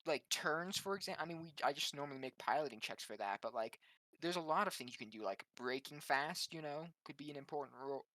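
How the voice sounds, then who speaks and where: A man with a bright, somewhat high voice plays a tabletop game. He talks casually, as if among friends at the table. The recording is close and dry. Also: expressive, brisk, no echo.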